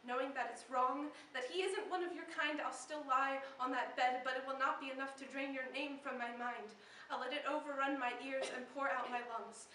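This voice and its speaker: High-voiced young woman performing slam poetry, echoey. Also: fairly even intonation. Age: teenager or young adult. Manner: dramatic, deliberate phrasing swelling from hushed to intense. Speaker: slam poet. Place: live poetry slam in a room. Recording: echoey room, distant mic, faint noise.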